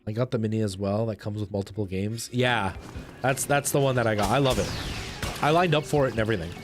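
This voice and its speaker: A man's voice: fairly low pitch, fast, over music. A man chatting casually and enthusiastically with viewers as he hosts a video game livestream. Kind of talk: casual livestream chat while playing a game.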